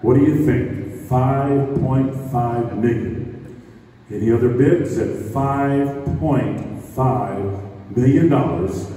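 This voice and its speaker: A man working as an auctioneer, calling out bids in a drawn-out, chanting way, recorded from the audience. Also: medium pitch, mellow. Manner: drawn-out, chanting bid calls. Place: large hall with stage. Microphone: podium mic, filmed from the audience. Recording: echoey hall from far off.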